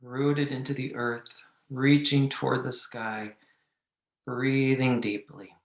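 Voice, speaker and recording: A man leading a guided meditation, giving gentle, measured instructions with long pauses. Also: mid-range voice, little pitch movement. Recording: echoey room.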